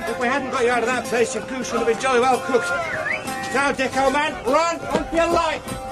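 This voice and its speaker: An actor playing a dashing upper-class English officer in a radio drama, speaking in a jaunty, clipped manner. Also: male voice, very high-pitched, fairly even intonation, moderate pace, loud music behind the voice, a loud crowd. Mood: urgent, jaunty.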